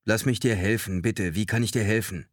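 A man voicing an earnest, worried man who pleads gently but insistently with an old friend in an audiobook. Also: lowish voice, steady tone, a little fast, no room sound, studio quality, voice pushed up, lightly acted. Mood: pleading. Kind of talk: audiobook character acting.

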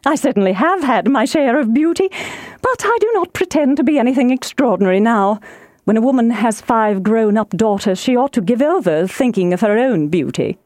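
Audiobook role: middle-aged wife and mother, played by a female reader, gushing and impatient, high and swooping pitch. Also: lively intonation, fairly quick, darkish tone, no room sound, studio quality, natural voice. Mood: pleading, insistent. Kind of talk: audiobook character voice.

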